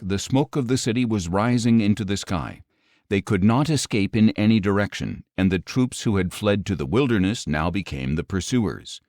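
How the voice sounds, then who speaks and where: A man narrating scripture from a script in a measured, solemn manner. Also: deep voice, a little mellow, dry recording, studio quality. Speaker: audiobook Bible narrator.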